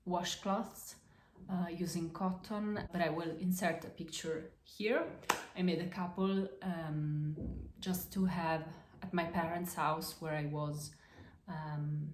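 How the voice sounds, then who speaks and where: Slow, hesitant Italian-accented woman. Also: on the low side, darkish tone, slight background noise. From Italy. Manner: hesitant, halting, many fillers and self-corrections. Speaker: podcast host. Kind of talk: casual show-and-tell of crafts. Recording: echoey room.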